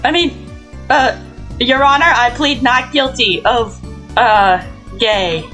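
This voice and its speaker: A woman's voice: fairly high pitch, slow pace, pushed and bright, soft background music. A woman voicing a flustered young male lawyer in a comedic game fandub, stammering and exasperated. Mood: flustered, baffled.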